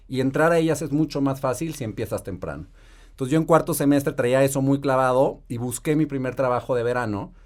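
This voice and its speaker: A Mexican man, probably in his thirties, a private equity investor telling his career story in a measured, articulate way. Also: medium pitch, darkish tone. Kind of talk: podcast interview storytelling.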